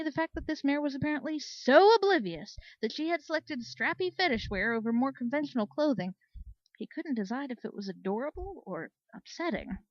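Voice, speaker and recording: A woman narrating a story in an expressive read-aloud style. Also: high-pitched, no room sound, dull audio. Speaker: story reader. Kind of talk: expressive audiobook-style narration of fan fiction.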